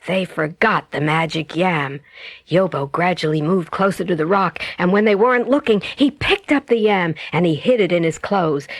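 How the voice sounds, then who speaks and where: A woman narrating a children's bedtime story as a storyteller, with expressive, dramatic and carefully phrased delivery. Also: lowish voice, vocal fry, no echo. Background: music.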